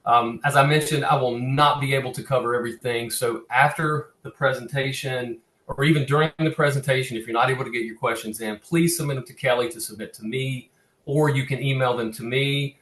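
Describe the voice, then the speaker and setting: A man's voice: medium pitch, fairly even intonation, fairly quick, a bit bright. A middle-aged man with a Southern US accent giving an informal online lecture, often repeating words as he goes. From American South. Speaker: guest presenter, cemetery conservation consultant. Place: online video call, echoey room. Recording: roomy, echoing webinar audio.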